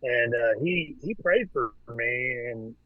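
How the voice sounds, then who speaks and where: A man chats as a guest in a loose, unhurried way, stumbling through fillers and restarts. His voice sits at an everyday middle pitch, and the recording is dry, with no room sound. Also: no echo, dull audio. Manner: relaxed, hesitant, with many fillers and restarts. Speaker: podcast guest, Freemason. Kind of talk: casual conversation.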